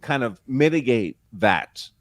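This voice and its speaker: A man's voice: mid-range voice, animated, takes it slowly, no echo. A man arguing emphatically in a live panel discussion as a legal commentator, posing rhetorical questions and repeating points for stress. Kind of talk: argumentative live discussion of a court case.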